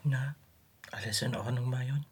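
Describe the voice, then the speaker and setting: An actress playing a caring middle-aged mother in a radio play, with a husky, breathy voice and a soft, consoling manner. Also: rumbling low, fairly even intonation, normal speed, very noisy. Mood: cautious concern. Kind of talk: radio drama dialogue.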